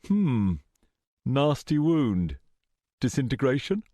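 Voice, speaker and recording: A man's voice: mid-range voice, very animated, slow, dark tone, no room sound, clean studio sound, voice pushed up. A man voicing a coolly calculating male villain in an audiobook, with formal, unruffled, conversational phrasing. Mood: brisk unconcern.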